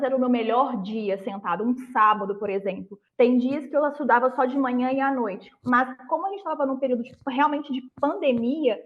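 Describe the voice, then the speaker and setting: A woman's voice: a little high, narrow, tinny audio. A woman in her thirties with a Brazilian accent from Minas Gerais, answering interview questions in an explanatory, conversational way. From Belo Horizonte, Brazil. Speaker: interview guest, lawyer who passed a prosecutor exam.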